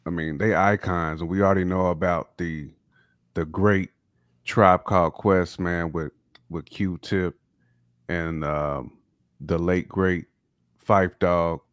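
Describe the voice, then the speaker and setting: Deep-voiced male co-host in his forties, emphatic, dry studio sound. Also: steady tone, slow, long pauses, dry recording. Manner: enthusiastic, emphatic praise with repeated words. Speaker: podcast co-host. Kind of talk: casual podcast chat.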